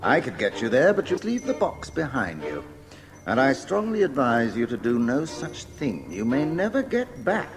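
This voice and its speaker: An actor playing a mysterious, cautioning man who can send others through time, with a measured, ominous delivery in an audio drama. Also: mid-range voice, wildly swooping pitch. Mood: grave warning. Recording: music under the voice.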